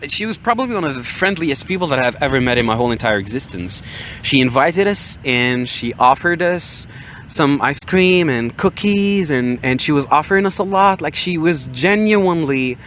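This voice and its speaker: Young man, American accent, bright forceful voice, hesitant casual storyteller, podcast host, ocean waves behind. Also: mid-range voice. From Morocco. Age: young adult. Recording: steady outdoor-like background noise, waves. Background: ocean waves ambience.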